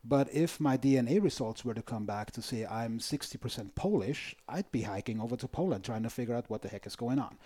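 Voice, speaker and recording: Mellow-voiced Austrian man explaining. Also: mid-range voice, expressive, fairly quick, hardly any pauses. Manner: emphatic, explanatory. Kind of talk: conversational discussion.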